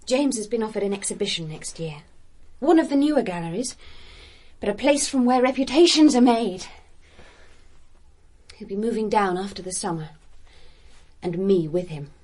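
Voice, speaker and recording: A woman's voice: medium pitch, lively intonation, moderate pace, long pauses, a little mellow, close to an everyday voice. An actress playing a self-assured, defiant, arty young woman in a radio drama, articulate and earnest.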